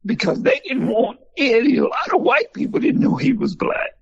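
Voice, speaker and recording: An older man with a husky, gravelly voice, telling folksy stories in an interview. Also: very high voice, normal speed, dry recording, dull audio. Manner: folksy, animated storyteller. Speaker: museum founder and former country singer. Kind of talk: storytelling in an interview.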